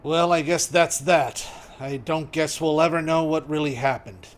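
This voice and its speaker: A man playing a weary, matter-of-fact police commissioner in 1930s radio drama style, measured and dry. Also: fairly high pitch, fairly even intonation, close to an everyday voice. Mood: resigned, dry.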